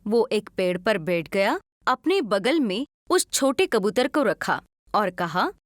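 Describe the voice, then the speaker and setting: A woman's voice: mid-range voice, very animated, slow pace, dry recording, studio quality. A woman narrating a scripted children's story as a storyteller.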